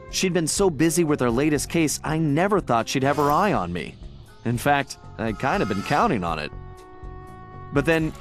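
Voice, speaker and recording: A wisecracking master-thief raccoon from a cartoon video game, acted by a man, speaks in a smooth American voice at a medium pitch. The delivery is wry and easygoing, with lively swings in intonation, over clearly audible music. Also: lightly acted. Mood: wry, confiding. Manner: smooth, wry, laid-back. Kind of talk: cartoon game narration and dialogue.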